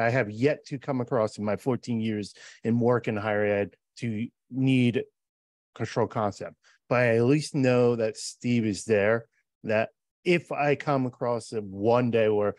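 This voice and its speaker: A man's voice: medium pitch, dry recording. Earnest man explaining on a podcast. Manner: earnest, explanatory, quick bursts of talk. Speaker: programmer and podcast co-host. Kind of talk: casual conversational podcast. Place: home office on a video call. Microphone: podcast mic with headphones.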